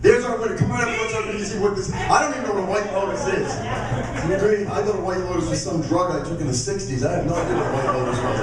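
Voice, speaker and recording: An older man hosting a live radio theater show with casual, comic stage banter. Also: sits high. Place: performing arts center stage before an audience. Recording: very echoey hall, distant mic, crowd.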